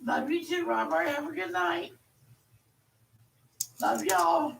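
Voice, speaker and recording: A woman chatting casually in a drawn-out, rambling way, heard from away from the mic in an echoey space with faint noise. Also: extremely high pitch, creaky, recorded from a distance. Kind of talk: casual chat.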